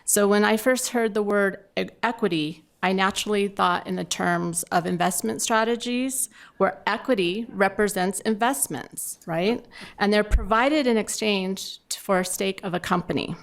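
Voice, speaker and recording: A woman's voice: mid-range voice, moderate pace, vocal fry. A middle-aged woman giving informal panel remarks at a conference, talking conversationally and directly to the audience. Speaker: panelist from a municipal water provider.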